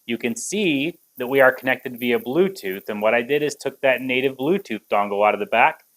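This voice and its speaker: A man's voice: mid-range voice, lively intonation, normal speed, fairly bright tone, poor audio. A man in his thirties gives a conversational, step-by-step product demo in an explanatory manner. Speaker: tech reviewer and presenter.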